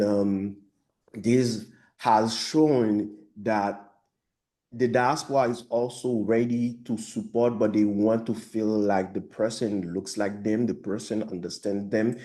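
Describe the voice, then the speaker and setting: A man from Haiti speaks with a Haitian accent, his voice low and mellow. He answers audience questions about his film over a video call, pausing and restarting as he searches for words. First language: Haitian Creole or French. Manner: halting, restarts often, thoughtful. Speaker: filmmaker.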